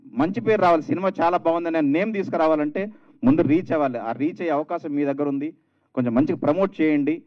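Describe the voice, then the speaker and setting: A man giving an informal, earnest speech at an event, halting and repeating phrases in a dull recording. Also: on the high side. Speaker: guest speaker at a film launch.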